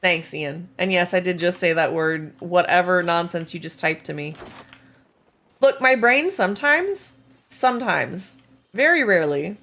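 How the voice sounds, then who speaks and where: A woman with a slightly hoarse, gravelly voice, chatting casually with viewers on a game live stream while reading and answering chat. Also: fairly low pitch, lively intonation, a little slow, fairly bright tone. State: slightly hoarse, losing her voice.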